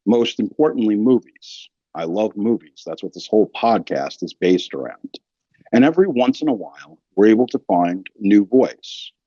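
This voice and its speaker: A man's voice: on the low side, expressive, normal speed, warm tone, husky, no echo. A man hosting a podcast, delivering an emphatic, colloquial and opinionated monologue. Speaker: podcast host. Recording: dry, slightly dull close recording.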